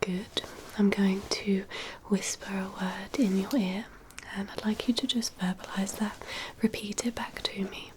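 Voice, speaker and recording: Slow, whispering ASMR woman as doctor. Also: medium pitch, little pitch movement, a little hiss or hum. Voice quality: soft, breathy whisper. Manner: gentle, unhurried, reassuring, instructive. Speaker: ASMR performer role-playing a doctor giving a hearing test. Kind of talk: whispered ASMR medical exam roleplay.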